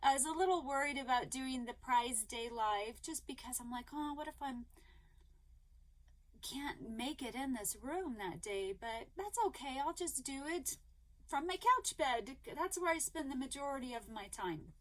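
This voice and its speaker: Upbeat woman vlogger with a high voice, slightly hoarse, rambling chat full of ums. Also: animated, normal speed. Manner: chatty, rambling with many ums and restarts; eager and upbeat. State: slightly hoarse, losing her voice. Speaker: crochet vlogger. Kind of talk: casual chat to viewers.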